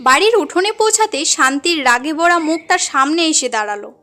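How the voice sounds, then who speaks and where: A woman's voice: sits high, expressive, a little fast, sharp tone. A woman giving scripted narration for a cartoon story as its narrator.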